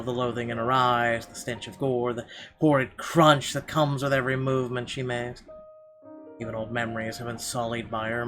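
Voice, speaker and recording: A man with a mid-pitched voice reads story narration aloud in an expressive, dramatic way. He stumbles now and then, and guitar music plays clearly behind him. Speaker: gamer reading a visual novel aloud.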